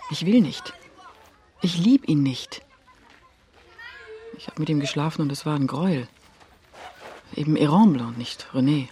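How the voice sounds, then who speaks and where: A woman's voice: sits low, a little mellow, a little hiss or hum. An actress playing a distressed but resolute woman in a radio crime drama, speaking emphatically and emotionally. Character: distressed, resolute young woman refusing an unwanted marriage.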